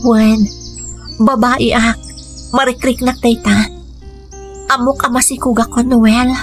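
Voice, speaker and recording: A woman's voice: fairly high pitch, little pitch movement, slow. An actress playing a devoted young activist woman in love, speaking sentimentally with an Ilocano accent in a radio drama. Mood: tender. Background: music under the dialogue.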